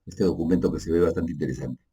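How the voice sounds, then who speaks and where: A man with a low, deep voice and a dark, mellow tone narrates a screen tutorial. He talks in an unhurried, casual way, correcting himself as he goes and checking in with little tag questions. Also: slightly rough. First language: Spanish. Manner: casual and explanatory, frequent fillers like "pues" and "¿no?"; halting, self-correcting narration while working on screen. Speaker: energy manager giving a software tutorial. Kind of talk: unscripted screen-share tutorial.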